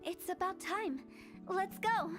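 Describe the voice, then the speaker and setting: An actress playing a playful young funeral director in a video game, telling eerie tales in a theatrical, teasing way. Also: female voice, extremely high pitch, takes it slowly, over music. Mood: cryptic, wry. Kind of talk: scripted game dialogue, dramatic storytelling.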